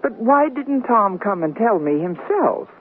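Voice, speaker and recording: A woman's voice: a little high, very animated, measured pace. A woman playing a wry, wisecracking middle-aged newlywed in a 1970s radio comedy drama. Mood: dry, surprised. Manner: dry, wisecracking. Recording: noisy, narrow-band 1970s radio recording.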